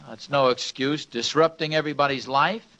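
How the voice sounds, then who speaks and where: A man's voice: mid-range voice, normal speed, sharp tone, close to an everyday voice. A man playing a fussy, grumbling suburban husband with hesitant delivery in a mid-century radio sitcom, on a noisy old recording. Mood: exasperated. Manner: hesitant, exasperated, repetitive.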